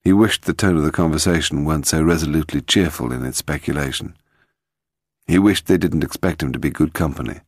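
A man's voice: very deep voice, brisk, breathy edge, no echo, studio quality. A man narrating a mystery novel as a scripted audiobook reading.

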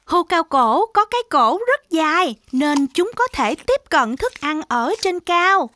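A woman's voice: high voice, very animated, measured pace, sharp tone, a little hiss or hum. A woman narrating a children's animation in an exclamatory storytelling style, talking directly to young viewers. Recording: music behind the voice. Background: children's music.

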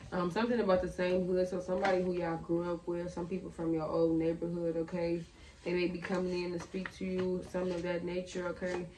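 A young woman with a slightly raspy, low voice chats through a tarot reading in a loose, slangy way. Her delivery stays fairly flat. The sound is roomy and picked up from a little way off. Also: a little fast, a little hiss or hum. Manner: chatty, slangy, thinking aloud. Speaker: tarot reader. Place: bedroom at a table. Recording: roomy, a bit far from the mic.

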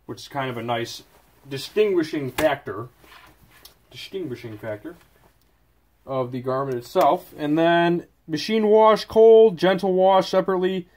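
A man's voice: mid-range voice, a little mellow. A man giving a casual, off-the-cuff product review at a desk, often correcting himself as he goes. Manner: chatty, off-the-cuff, with self-corrections. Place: indoors at a desk.

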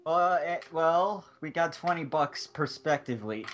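A man's voice: a little high, animated, measured pace, fairly bright tone, a little hiss or hum. A man around twenty-one talking casually about his stream during a group game, cracking jokes in an easygoing way. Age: 20s. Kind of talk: casual gaming chat.

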